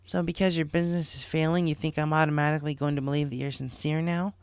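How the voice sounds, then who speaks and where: A man's voice: on the high side, flat delivery, fast talker, clean tone, dry recording, voice pushed up, close to an everyday voice. A man voicing a brash, defiant young mare pony in an audiobook story, with a blunt and accusing manner. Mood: scornful.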